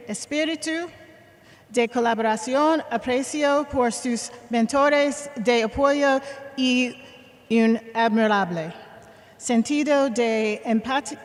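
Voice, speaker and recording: A woman speaking as a college official leading a commencement, in a formal address style. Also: fairly high pitch. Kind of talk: formal ceremony address with casual asides. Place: large indoor arena at a commencement. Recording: echoey large arena, long reverb.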